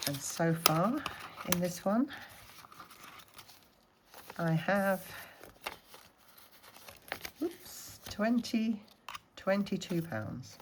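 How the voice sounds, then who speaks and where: A woman from the Scottish Highlands, a little snuffly from a cold, chatting casually through her budgeting challenges and counting money aloud. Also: animated, very slow, long pauses, noisy background. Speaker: budgeting vlogger.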